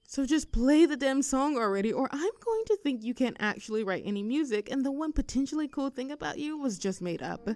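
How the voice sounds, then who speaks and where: Woman playing a high-pitched, bratty rebel schoolgirl. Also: sing-song, a little fast, hardly any pauses, darkish tone. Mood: pushy teasing. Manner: sarcastic, teasing, brash. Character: rebellious, teasing teenage girl bully, bratty and defiant. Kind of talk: scripted roleplay audio drama.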